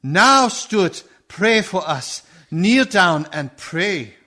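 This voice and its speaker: An actor playing a commanding, solemn vampire hunter in a radio play, with intense, theatrical delivery. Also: male voice, high voice, very animated, very slow, bright, forceful tone, exaggerated voice. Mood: urgent.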